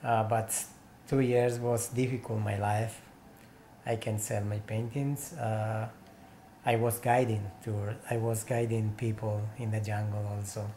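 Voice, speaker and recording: Soft-toned Peruvian man in his forties. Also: lowish voice, level tone, slight background noise. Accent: Peruvian Spanish. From Peru. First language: Spanish. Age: 40s. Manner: halting English with many pauses and restarts. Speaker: interviewee, painter. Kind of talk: casual interview. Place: small room with painted walls, indoors.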